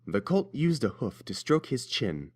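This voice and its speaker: A man's voice: mid-range voice, sing-song, unhurried, husky. A man narrating a scripted story as its reader.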